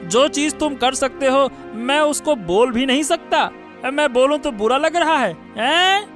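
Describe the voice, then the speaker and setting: A man's voice: extremely high pitch, expressive, a bit bright, full character voice. A man voicing a sneering, meddlesome village minister in a Hindi cartoon moral story. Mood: mocking, taunting. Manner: taunting, drawn-out questioning tags. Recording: music bed under the voice.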